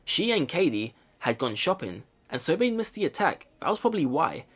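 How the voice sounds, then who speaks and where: Male let's player, voice a little high, casual and rambling, reads narration then muses aloud. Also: very animated, a little fast, fairly bright tone. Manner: rambling, repeats phrases, thinks aloud. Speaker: let's play host. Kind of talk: casual game commentary mixed with reading visual novel narration aloud.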